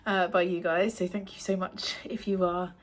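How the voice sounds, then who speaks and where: A woman's voice: fairly low pitch, little pitch movement, normal speed. A woman in her thirties, chatting casually in a home book vlog, a little breathless and wheezy from a cough. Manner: chatty, rambling, with frequent ums. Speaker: book vlogger.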